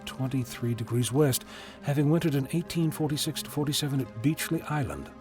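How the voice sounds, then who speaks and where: A man's voice: mid-range voice, animated, a little fast, darkish tone, soft background music, natural voice. A man acting an earnest, kindly time-travelling adventurer in an amateur audio drama. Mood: explaining.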